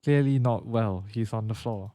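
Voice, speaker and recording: A man's voice: lowish voice, normal speed, mellow. A man giving casual live commentary on a game, reacting in short murmured asides as a streamer.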